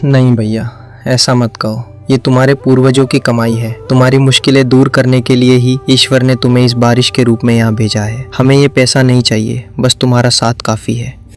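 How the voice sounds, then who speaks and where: A man's voice: mid-range voice, level tone, moderate pace, faint music behind, lightly acted. A man voicing a stern, blunt householder in an emotional cartoon family drama. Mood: remorseful. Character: stern suspicious householder, quick to accuse his relative. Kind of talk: dramatic cartoon story dialogue.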